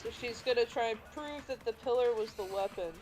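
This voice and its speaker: A woman's voice: a little high, a little fast, a little mellow, faint music behind, rough recording. A woman co-streaming a game, talking through the play casually. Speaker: co-streamer guiding the player. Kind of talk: casual gameplay chat.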